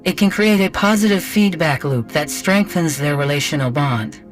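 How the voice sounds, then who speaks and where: A man's voice: on the high side, moderate pace, soft background music, voice pushed up, close to an everyday voice. A man voicing an earnest, literal-minded female artificial person who speaks in blunt, formal, methodical phrases. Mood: earnest, reasoning.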